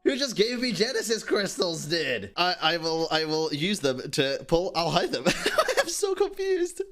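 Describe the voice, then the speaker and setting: A man's voice: high-pitched, sing-song, measured pace, a bit bright, soft background music. A man streaming a game, reacting in casual, slangy commentary with swearing.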